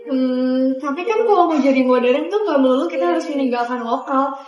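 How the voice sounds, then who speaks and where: A young woman playing a female student who pushes back softly and reasons in a conversational way. Her voice is high and quick. The sound is roomy, with music underneath. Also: highs cut off, close to an everyday voice. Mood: reasoning. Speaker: student in a class skit.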